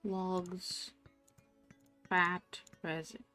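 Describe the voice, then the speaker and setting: A German woman with a mixed English accent, streaming a game and thinking aloud in casual, low-energy chat. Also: flat delivery, pushed and bright, faint music behind. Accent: mixed English accent, no clear origin. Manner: slow, thinking aloud while playing, low-energy murmurs.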